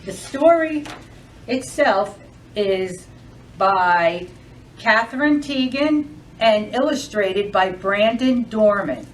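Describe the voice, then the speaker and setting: Lady doing storytime for little kids, really animated and unhurried, kinda far from the mic. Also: mid-range voice, a little hiss or hum. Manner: sing-song, deliberate, expressive storyteller delivery. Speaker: library storytime host. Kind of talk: children's storytime read-aloud, addressing young kids.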